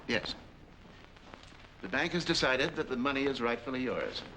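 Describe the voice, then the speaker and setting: An actor playing a formal, courteous bank official in a 1940s film, with a dry, measured manner. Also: male voice, medium pitch, animated, bright, forceful tone. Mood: brisk, formal. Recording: noisy 1940s film soundtrack.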